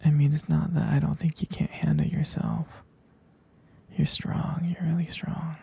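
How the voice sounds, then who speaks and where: Man playing a husky whispering jealous boyfriend. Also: on the high side, flat delivery, dark tone, heavily acted. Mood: uneasy, jealous. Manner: intimate, hesitant, halting. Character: jealous, possessive, devoted boyfriend soothing his sweetheart. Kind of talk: boyfriend roleplay ASMR.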